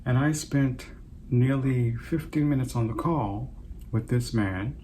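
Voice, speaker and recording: Older guy from trinidad hosting his channel, mid voice, thinks as he talks, lots of little pauses. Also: mid-range voice, warm tone, slight background noise. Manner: reflective, unhurried, with many pauses. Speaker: host. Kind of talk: casual personal talk to camera.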